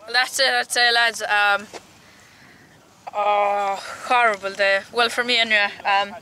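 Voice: mid-range voice, level tone, measured pace, sharp tone. Young angler, noisy outdoor recording. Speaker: angling vlogger. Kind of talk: casual fishing vlog chat. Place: outdoors by the sea, fishing.

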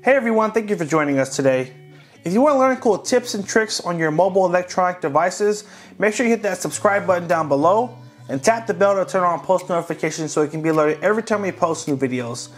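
A man hosting a tech tutorial, calmly narrating each step of a device reset in a casual, friendly way. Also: high-pitched, fast, faint music behind. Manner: friendly, relaxed, walks viewers through each step.

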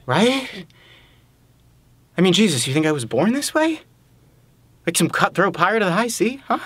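A man acting a dramatic monologue as a desperate, self-loathing adulterer nearing middle age, halting and repeating himself, swearing and pleading. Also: medium pitch, vocal fry, fairly bright tone, no echo. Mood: agitated, frustrated. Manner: halting, repetitive, swearing, emphatic.

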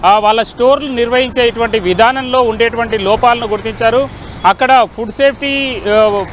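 A middle-aged man giving a halting live news report into a handheld microphone outdoors. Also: very high-pitched, a bit bright, poor audio. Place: busy city roadside, outdoors. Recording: faint outdoor street noise. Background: faint traffic.

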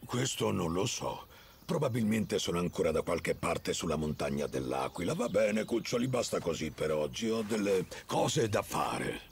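A man playing a wise, kindly old bear who tells tales in a children's cartoon, with a gravelly, expressive voice. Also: low voice, moderate pace, a little hiss or hum. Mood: calm. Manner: storytelling, expressive.

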